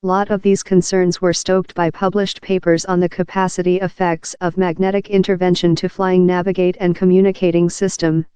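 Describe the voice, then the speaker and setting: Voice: mid-range voice, little pitch movement, normal speed, hardly any pauses, dark tone, clean tone, dry recording. A narrator reading a scripted article aloud in an impersonal, uninflected way.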